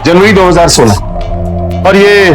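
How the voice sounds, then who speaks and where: TV crime drama cop: Indian man, high voice, stern, commanding, menacing in interrogation. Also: unhurried. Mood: suspicious. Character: stern, threatening male police investigator. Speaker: actor. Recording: loud dramatic background music.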